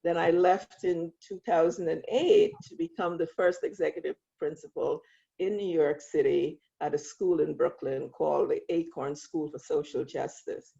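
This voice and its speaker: A middle-aged woman from Guyana speaks in a husky, gravelly voice. She tells her story reflectively and laughs often. The video-call audio sounds muffled and lo-fi. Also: sits low, steady tone, normal speed, dark tone. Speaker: guest, school leader. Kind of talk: interview, personal story.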